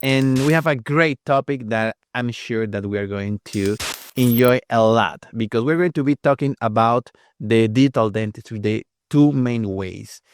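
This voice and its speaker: A man hosting a podcast interview, speaking hesitantly with pauses and fillers. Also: medium pitch, a little hiss or hum. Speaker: podcast host.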